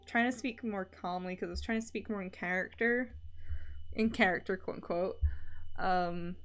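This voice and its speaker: A young woman with a soft mixed Edinburgh Scottish and Canadian accent, rambling casually and candidly on stream. Her pitch sits at an ordinary middle level for a woman, and she swears offhandedly. Faint music plays behind her. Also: normal speed. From Scotland.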